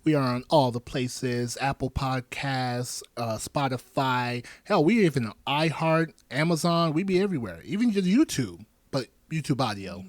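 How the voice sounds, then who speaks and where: A man in his thirties hosting a podcast, chatting casually with animated, joking asides. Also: medium pitch, moderate pace, hardly any pauses, no echo. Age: 30s. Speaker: podcast host.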